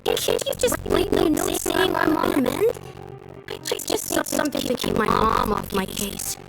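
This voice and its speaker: A woman's voice: rumbling low, wildly swooping pitch, moderate pace, creaky, exaggerated voice, rough recording. A woman voicing a sick young boy in a video game, whiny and anxious, hesitant and pleading. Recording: loud music behind the voice.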